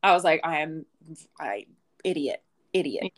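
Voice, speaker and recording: Raspy, hungover young woman chatting. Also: deep voice, animated, a little slow, a crowd in the background. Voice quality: raspy, ragged voice. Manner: rambling, laughing, self-mocking. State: hungover and tired. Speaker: podcast co-host, wedding singer. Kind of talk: casual chat.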